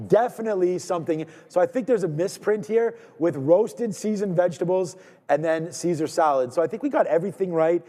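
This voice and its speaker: A man from Canada hosting a live trading stream, talking in energetic, rambling casual banter, repeating words and shouting out viewers. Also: high voice, fairly quick, long reverb tail.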